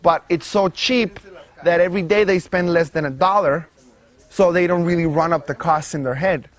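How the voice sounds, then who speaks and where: Young man, from Guatemala City, slightly high voice, earnest, measured interview talk. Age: young adult. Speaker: solar startup co-founder. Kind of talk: documentary interview.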